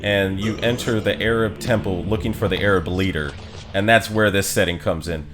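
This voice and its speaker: A man giving casual live commentary as a game streamer, explaining things in an offhand, halting way. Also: deep voice, steady tone, noisy background. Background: game music.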